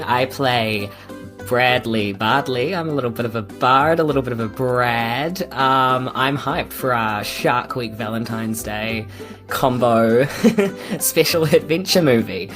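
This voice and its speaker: A woman chatting casually and dryly as a player on a role-play podcast. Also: a bass voice. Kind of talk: casual chat. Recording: music behind the voice.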